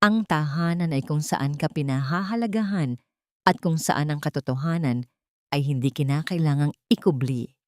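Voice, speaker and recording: A woman voicing a humble, respectful housemaid who speaks with polite, measured sincerity in an audiobook drama. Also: low voice, darkish tone, no room sound, studio quality, natural voice. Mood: sincere, resolute.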